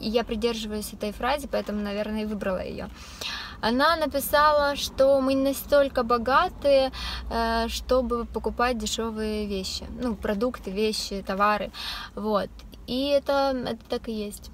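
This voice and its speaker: A woman's voice: on the high side, normal speed. A young woman chatting casually to the camera from her parked car, with many filler sounds and light laughs. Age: young adult. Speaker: vlogger. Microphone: camera on the dashboard. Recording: faint background noise.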